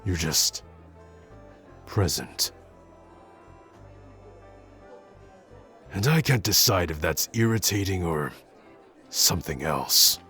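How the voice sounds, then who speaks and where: A man playing a gruff, awkward young tsundere prince who speaks haltingly and grudgingly in an intimate roleplay audio addressed to the listener. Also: low-pitched, lively intonation, pushed and bright, heavily acted. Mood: guarded, musing. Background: background music.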